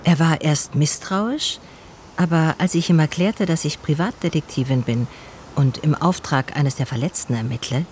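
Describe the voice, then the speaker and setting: A woman's voice: sits low, moderate pace, darkish tone, a little hiss or hum, natural voice. An actress playing a female private detective in a radio play, narrating and talking in a dry, slightly weary, curious way. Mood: reflective, narrating. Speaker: narrator and lead character.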